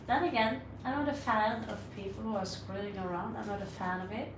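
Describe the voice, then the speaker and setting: A woman's voice: mid-range voice, fairly even intonation, a little fast, far from the mic. A woman with a Norwegian accent hosting a tarot livestream, talking casually and bluntly to her chat, with sarcastic asides. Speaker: tarot reader livestream host. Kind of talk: casual live-stream chat answering viewers. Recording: echoey room, mic set back, audible noise.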